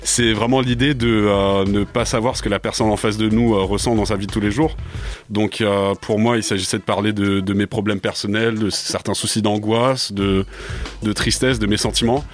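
A man's voice: on the low side, steady tone, normal speed. A man in his twenties, a native English speaker, answering a radio interview in a studio with many hesitations and fillers. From France. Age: 20s. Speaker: rapper guest.

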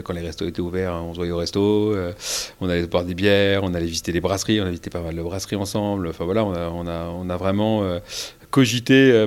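A man's voice: low-pitched, a little mellow, clear, resonant tone. A man from Paris, a brewery co-founder, answering interview questions casually with many fillers and restarts.

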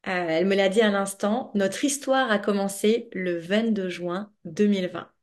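A woman hosting a podcast interview in a casual, chatty and expressive way, speaking informally as a coach. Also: mid-range voice, normal speed. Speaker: podcast host and money coach.

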